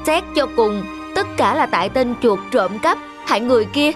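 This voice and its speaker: A woman's voice: a little high, animated, moderate pace, music in the background, natural voice. A woman voicing an earnest, emphatic female bird in a cartoon fable. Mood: angry, vengeful. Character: scolding female bird neighbour, earnest and vengeful.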